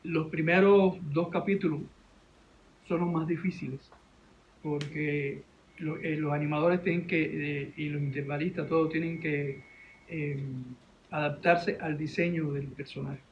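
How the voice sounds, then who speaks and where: A man with a Venezuelan accent telling a story informally in an interview, halting and repeating his words. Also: fairly high pitch, fairly even intonation, muffled recording, a little hiss or hum. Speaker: interview guest, animation director and teacher.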